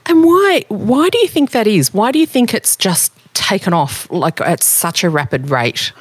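A woman hosting a podcast interview, chatty and quick to laugh. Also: mid-range voice, slightly rough, no room sound. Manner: chatty, laughs often, lively. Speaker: podcast host and editor. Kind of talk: casual interview.

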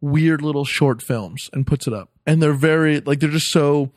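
A man's voice: fairly high pitch, normal speed, darkish tone, dry recording. Enthusiastic guy chatting, dry studio sound. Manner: enthusiastic, slangy. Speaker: podcast guest, comedian. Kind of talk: casual chat.

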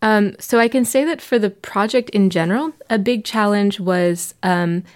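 A woman researcher explaining her project in an interview, talking with many pauses and ums. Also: mid-range voice, darkish tone. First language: not Danish. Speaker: researcher guest.

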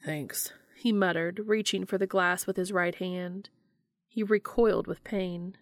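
A young adult woman reading fan fiction aloud in a slow, even storytelling manner. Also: lowish voice, dry recording, clean studio sound.

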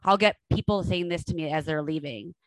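A woman's voice: sits low, brisk, dry recording, rough recording. A woman podcast host and coach in a casual interview, enthusiastic and affirming, laughing often.

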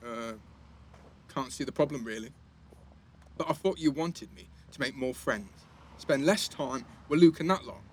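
Clear background noise of passing vehicles sits behind a man playing a teenage lad in a naturalistic audio play. He is chatty and slangy, always ready to tease, in a medium-pitched voice. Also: normal speed, long pauses, close to an everyday voice. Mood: guarded, wry. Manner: casual, slangy, teasing. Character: Charlie, chatty, teasing teenage boy in care. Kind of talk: naturalistic audio drama acting.

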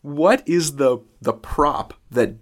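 A man's voice: on the high side, lively intonation, slow pace. A man hosting a podcast, asking questions in a conversational interview style.

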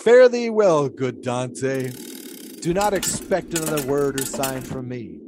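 A man acts out an eccentric flagpole sitter from the 1920s in an audio drama. He is grandiose and philosophical, declaiming in florid, theatrical phrases with a medium-pitched voice. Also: animated, unhurried, noisy background, lightly acted. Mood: solemn, grand. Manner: theatrical, florid, quoting poetry.

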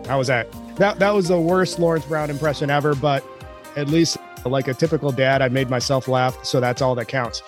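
A man's voice: medium pitch, a little fast, soft background music. Enthusiastic man hosting a podcast. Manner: enthusiastic, jokey, self-described nerd. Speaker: podcast host.

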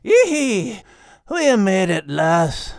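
A man's voice: high-pitched, very animated, drawn-out words, no echo, pitched above the performer's own voice, heavily acted. A brave young Scottish terrier, voiced by a male audiobook reader, speaks with a Scottish accent. His voice is raised and swings freely in a dry, close recording, full of tender feeling. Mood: elated. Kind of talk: audiobook character voice.

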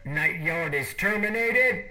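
A man playing a curt prison guard, giving an official loudspeaker announcement in an audio drama. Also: sits high, expressive, takes it slowly, heavily acted.

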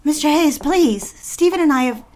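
A woman's voice: sits high, expressive, moderate pace, darkish tone. An actress playing an anxious young female secretary, apologetic and unsettled. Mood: pleading. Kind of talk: audio drama acting.

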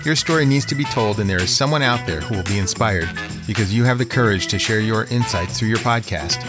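A man co-hosting a podcast, asking questions in a measured, deliberate way. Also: lowish voice, over music. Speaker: dialogue and voiceover editor, co-host. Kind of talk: podcast interview.

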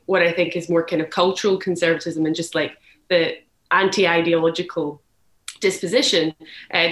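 A woman's voice: on the low side, fairly bright tone. A young woman from Glasgow with a Scottish accent, giving candid, thoughtful interview answers and often restarting her phrases. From Glasgow, Scotland. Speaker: journalist guest. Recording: echoey room.

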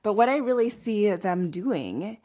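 A woman's voice: medium pitch, expressive, a little slow, mellow. A woman speaking as a podcast host in a conversational interview style.

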